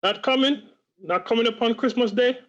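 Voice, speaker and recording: A man playing a gentle, devoted and humble Victorian father, mild and earnest, in a radio play over a video call. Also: high-pitched, animated, moderate pace. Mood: concerned. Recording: dull lo-fi video call audio.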